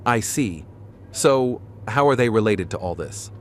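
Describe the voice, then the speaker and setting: A man's voice: fairly low pitch, expressive, unhurried. A man playing an earnest, reflective starship captain and devoted son in a fan audio drama. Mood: inquiring. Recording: faint background noise.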